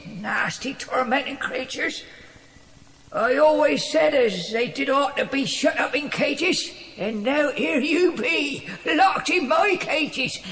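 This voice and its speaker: An actor playing a simple, spiteful old English servant in an audio drama, muttering and chuckling in rustic, ungrammatical speech. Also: male voice, very high-pitched, creaky, a bit bright, heavily acted. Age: older. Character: simple, spiteful, cackling old cockney dog-keeper man. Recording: echoey reverberant space with faint noise.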